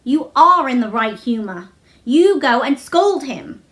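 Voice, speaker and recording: A woman playing a flustered, frightened nurse in a storybook reading, speaking in an anxious, hurried way. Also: sits high, big pitch swings, a bit bright, full character voice. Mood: urgent.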